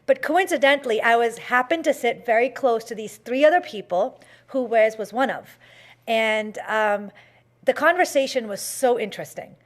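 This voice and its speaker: A woman's voice: on the high side, normal speed. Chatty woman talk show host. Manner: chatty, many fillers and restarts. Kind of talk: casual storytelling on a talk show.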